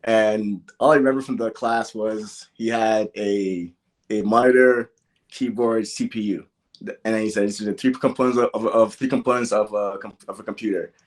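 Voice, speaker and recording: Very deep-voiced young man in conversation. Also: fairly even intonation, normal speed. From born in Nigeria, grew up in the American Midwest. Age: young adult. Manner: conversational, peppered with "like" and "you know". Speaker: podcast guest. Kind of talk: casual interview, personal storytelling.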